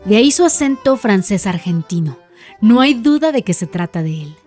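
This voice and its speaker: A woman reads documentary narration for a podcast in a mid-range voice. Her delivery is clear, steady and even. Faint music plays underneath. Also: mid-range voice, moderate pace. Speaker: podcast host.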